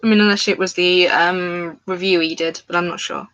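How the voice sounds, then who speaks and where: A woman's voice: fairly low pitch, steady tone, normal speed, a bit bright, muffled recording. Chatty woman live-streaming crafts. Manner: rambling, chatty, addresses viewers in chat. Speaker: crafts livestreamer. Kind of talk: casual live-stream chat. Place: at home, indoors.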